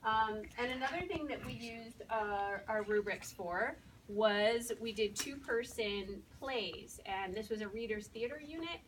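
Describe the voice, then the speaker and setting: A woman's voice: fairly high pitch, a little slow. A woman speaking as a first-grade teacher, explaining things informally to an audience in a step-by-step way. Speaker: first-grade teacher presenting student work. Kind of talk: informal spoken presentation to an audience. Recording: distant mic, faint room noise.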